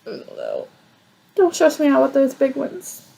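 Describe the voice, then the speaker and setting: A woman's voice: sits high, wildly swooping pitch, moderate pace, vocal fry, mellow. A woman gamer giving casual let's-play commentary, hesitant and questioning herself, with some swearing. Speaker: gamer playing a story game.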